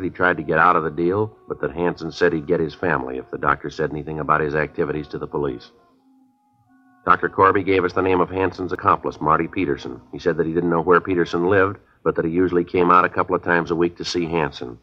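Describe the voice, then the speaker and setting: Very low-voiced, a police detective played by a man talks in quick, clipped, unemotional sentences. It is vintage radio crime drama, businesslike and flat in delivery. The sound is dull, like an old broadcast. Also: lightly acted. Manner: clipped, deadpan, matter-of-fact.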